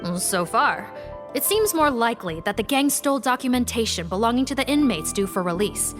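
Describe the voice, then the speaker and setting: A woman's voice: a little high, lively intonation, a little fast, over music, close to an everyday voice. Woman playing a polite, skeptical investigator. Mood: doubtful. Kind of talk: video game dialogue.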